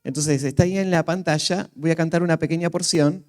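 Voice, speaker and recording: A man's voice: on the high side, fast talker, darkish tone. A man working as a church interpreter, relaying a speaker's words live in short turns.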